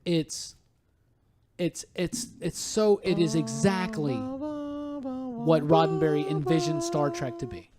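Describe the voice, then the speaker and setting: A man's voice: on the high side, big pitch swings, slow, long pauses, loud music behind the voice. A man hosting a podcast, chatting casually and emphatically about films with real enthusiasm. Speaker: podcast host.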